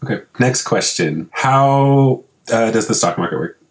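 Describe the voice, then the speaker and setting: A man's voice: medium pitch, lively intonation, unhurried. A man in his twenties co-hosting a podcast, chatting casually in a candid, joking, profane way. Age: 20s. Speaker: podcast co-host.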